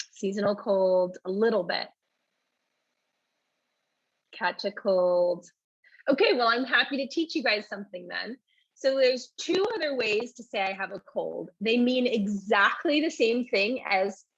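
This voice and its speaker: A woman teaches a live online English class, chatting casually and answering questions from the chat as they come in. Her voice sits a little high and has a husky edge. The sound is dry and somewhat dull, like a home computer mic on a stream. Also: a bit bright, no echo.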